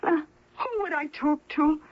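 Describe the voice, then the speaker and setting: A woman's voice: sits high, unhurried. An actress playing a gangster's nervous, submissive girlfriend in a radio drama, hesitant and pleading in the way she talks. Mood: frightened, placating. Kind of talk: 1980 radio drama acting. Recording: muffled old radio broadcast.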